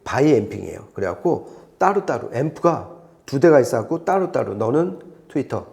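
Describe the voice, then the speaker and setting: A man's voice: mid-range voice, big pitch swings, normal speed, creaky, a little mellow. A man speaking as an audio expert, explaining things conversationally and step by step, often repeating points for clarity. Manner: didactic, explains step by step with repetition.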